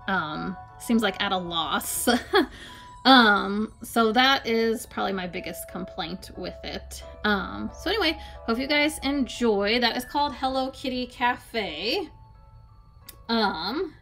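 A young adult woman reviewing tablet game apps in a relaxed, hesitant way, filling pauses with um and like while filming her screen at home. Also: mid-range voice. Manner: hesitant, many ums and likes. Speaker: app reviewer. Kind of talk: casual screen-recorded app review. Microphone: phone or camera filming a tablet screen.

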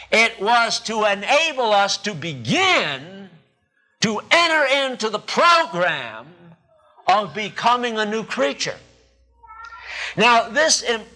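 A man in his sixties preaching a sermon from a church pulpit into podium microphones, emphatic and deliberate, with pauses and repeated phrases. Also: high voice, pushed and bright, low-quality recording. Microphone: two podium microphones.

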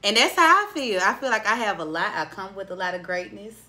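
A woman in her late twenties hosting a casual podcast interview, chatty and expressive, playfully teasing her guest, recorded on a studio mic in a small room. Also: mid-range voice, brisk. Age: 20s. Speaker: podcast host. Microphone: studio mic on boom arm.

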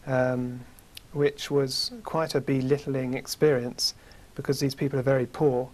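A man in his thirties with a British accent, a guest in a studio interview, telling about his travels in a measured way with many fillers, on a lapel mic. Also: medium pitch, little pitch movement, a little mellow. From United Kingdom. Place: small studio with curtain backdrop.

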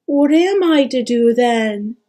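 A woman voicing an anxious, gentle young boy in a storybook, softly spoken and questioning. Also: fairly high pitch, lively intonation, slow pace. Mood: worried. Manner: soft, nervous, questioning.

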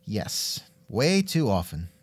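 A man with a lively, swooping delivery hosts a casual interview. He sounds wry and musing, and the recording is close and dry. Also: lowish voice, takes it slowly, slightly rough, dry recording. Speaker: podcast host and interviewer. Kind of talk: casual scripted interview chat.

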